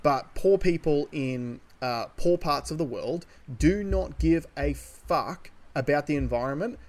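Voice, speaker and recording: A man's voice: fairly high pitch, a little slow, a little mellow. A man co-hosting a podcast, giving his opinions in casual, insistent, sweary talk. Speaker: podcast co-host.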